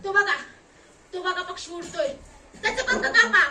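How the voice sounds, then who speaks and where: A woman's voice: very high voice, normal speed. A woman playing an anguished, defiant young acid attack survivor in a melodramatic, tearful theatrical monologue, repeating her phrases. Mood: bitterly sarcastic. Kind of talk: theatrical one-act stage monologue. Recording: echoey room, distant mic, noisy.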